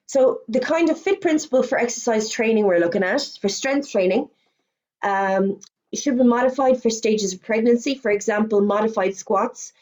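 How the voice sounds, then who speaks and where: A middle-aged woman with an Irish accent, a fitness instructor giving a webinar presentation, chatty, with quick asides and self-corrections, her voice going hoarse as she talks. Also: medium pitch, darkish tone. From Ireland. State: losing her voice.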